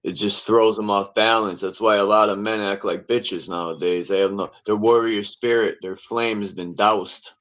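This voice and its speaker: A man from Connecticut hosting a casual livestream panel, talking loosely with halting restarts. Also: lowish voice, steady tone, a bit bright. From Connecticut, USA.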